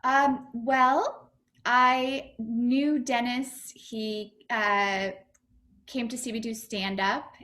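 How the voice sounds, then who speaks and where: A woman's voice: on the high side, steady tone, extremely slow, pushed and bright. A woman comedian and actor answering interview questions over a video call, chatty and upbeat, with lots of fillers and restarts.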